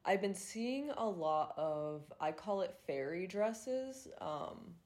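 A woman's voice: fairly low pitch, lively intonation, slow pace, darkish tone. A woman in her twenties hosting a podcast, chatting casually with lots of fillers and swearing, sounding congested and nasal. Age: 20s. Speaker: podcast host. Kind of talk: casual solo podcast chat.

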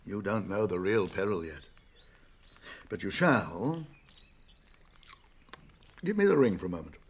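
Wise old british wizard for radio drama, husky, swooping pitch, grave but kindly, takes his time. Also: animated, heavily acted. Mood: grave, deliberate. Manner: deliberate, pausing, murmuring hums and chuckles.

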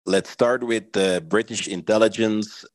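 A man in his thirties from Belgium, answering interview questions in a measured, thoughtful way on a podcast. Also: on the low side, fairly even intonation, dry recording. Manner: measured, thoughtful, often adds "right?". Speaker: podcast guest.

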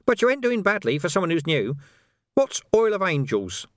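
A sly, grubby street urchin boy in a storybook reading, played by a man. He wheedles and bargains in cocky slang, pitched high with a bouncing, lively voice. The sound is dry and close. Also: moderate pace, no room sound. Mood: cheeky.

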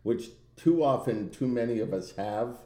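A man co-hosting a podcast in casual, bantering chat with a loud, animated voice and a roomy, echoey sound. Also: mid-range voice, normal speed. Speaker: podcast co-host. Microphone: own podcast microphone.

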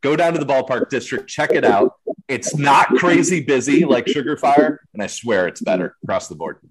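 A man's voice: medium pitch, animated, moderate pace, over a noisy crowd, rough recording. A man chatting casually, recommending things with eager insistence. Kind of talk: casual chat.

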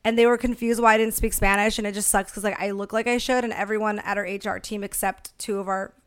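A woman's voice: medium pitch, fairly even intonation, quick pace, clean tone. A young woman in casual podcast conversation, candid and slangy with some casual swearing. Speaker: podcast co-host.

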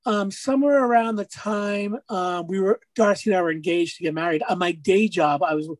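Raspy guy musician chatting, dry close mic, rambles a lot. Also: high voice, brisk, no room sound. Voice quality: raspy speaking voice. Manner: rambling storyteller, many fillers. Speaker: musician guest. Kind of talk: casual interview talk.

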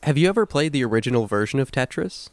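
A man's voice: mid-range voice, expressive, quick pace, no room sound. A man co-hosting a podcast, chatting casually about video games and swearing freely. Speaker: podcast co-host.

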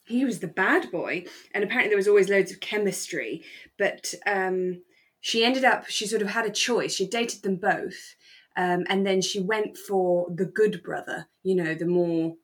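A woman with a British accent co-hosting a podcast in a relaxed, casual chatting style. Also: mid-range voice, normal speed. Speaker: podcast co-host. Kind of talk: relaxed casual chat about a radio drama.

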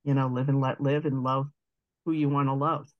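Woman guest with a deep voice, earnest and reflective, dry close sound. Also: little pitch movement, fairly quick, no room sound, muffled recording. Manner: earnest, thoughtful, speaks in long winding sentences. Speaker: guest, advocate. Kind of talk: reflective interview conversation.

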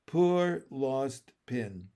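A protective mother frog played by a man, speaking slowly with wide swings of pitch. She is earnest and emotional, a storybook character. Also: very animated. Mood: sorrowful.

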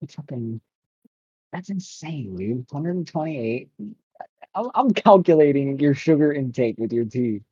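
A man's voice: mid-range voice, expressive, measured pace, dark tone, breathy edge, no echo. A young man co-hosting a casual podcast, teasing and laid-back, laughing a lot. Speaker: podcast co-host. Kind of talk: casual banter between friends. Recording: dull dry lo-fi sound.

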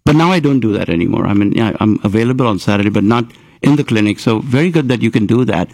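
A man hosting a radio interview show, chatty and enthusiastic with quick interjections. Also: on the low side, lively intonation, a little mellow, no echo.

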